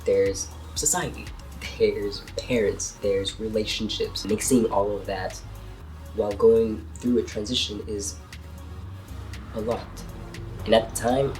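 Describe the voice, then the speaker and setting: A man in his twenties with a cold and a congested voice, earnestly talking through personal experiences in a vlog. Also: on the low side. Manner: earnest, heartfelt, reflective. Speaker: vlogger. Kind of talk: personal vlog talk to camera. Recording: distant mic with soft music behind.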